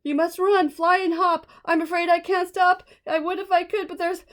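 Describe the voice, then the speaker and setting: A woman's voice: extremely high pitch, steady tone, normal speed, fairly bright tone, voice pushed up. A woman voicing a big male elephant in a children's storybook, apologetic and easily frightened, pleading dramatically. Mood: apologetic, sad. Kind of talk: children's storybook read-aloud.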